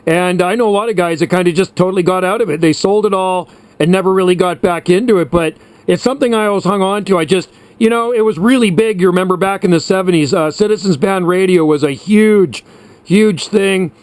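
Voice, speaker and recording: A man's voice: high voice, fairly quick, darkish tone, no echo. A man of about sixty chatting casually and at length as a hobby radio operator, in a reminiscing way. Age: around 60. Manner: chatty, reminiscing, uses radio sign-offs.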